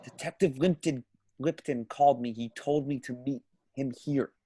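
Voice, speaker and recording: A man acts out a frightened young searcher in a horror script read. His voice is husky and fairly close and dry. He sounds urgent, nervous and ready to plead. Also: mid-range voice, normal speed, mellow, dry recording, close to an everyday voice. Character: anxious young man searching a haunted theater. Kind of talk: amateur horror screenplay table read.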